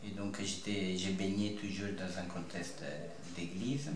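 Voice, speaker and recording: Low-voiced young Italian man, echoey room. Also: fairly even intonation. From north-east Italy (Friuli). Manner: earnest, halting phrasing with repeated words. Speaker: interview guest, seminarian about to be ordained priest. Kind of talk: personal testimony in an interview. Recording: echoey room with faint background noise.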